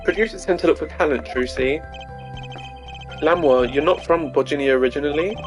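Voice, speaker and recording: Woman doing a low, earnest young male lawyer. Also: brisk, lightly acted. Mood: wry, earnest. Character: earnest, wry young male defence attorney. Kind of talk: amateur video game character voice-over. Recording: game music behind the voice.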